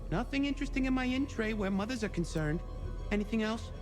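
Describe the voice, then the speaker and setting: A man's voice: high-pitched, animated, quick pace. An actor playing a flirtatious, theatrical male police records clerk in a video game, coy and playfully helpful, with music behind him. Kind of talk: video game dialogue acting.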